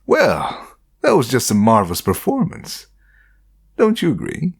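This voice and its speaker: A man's voice: mid-range voice, wildly swooping pitch, a little slow, gravelly, slightly rough, heavily acted. A man playing a smug, teasing vampire in an audio drama, with a sardonic, self-important way of talking. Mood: smug, playful. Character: smug, theatrical, teasing male vampire nobleman. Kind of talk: dramatic audio roleplay acting.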